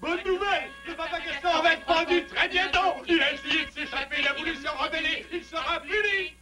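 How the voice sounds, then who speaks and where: A man's voice: very high voice, a little fast, over a noisy crowd. A man voicing a gloating, menacing henchman who makes proclamations in a French cartoon, heard from a distance. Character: gloating herald henchman of a tyrant, shrill, menacing. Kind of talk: French dubbed cartoon acting. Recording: distant voice over music.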